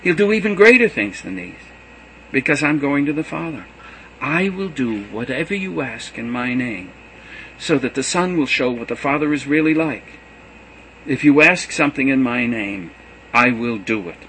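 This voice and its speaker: A man voicing Jesus as a gentle teacher saying farewell to his students, earnest and measured. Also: medium pitch, animated, a little hiss or hum, rough recording. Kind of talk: reading a gospel aloud.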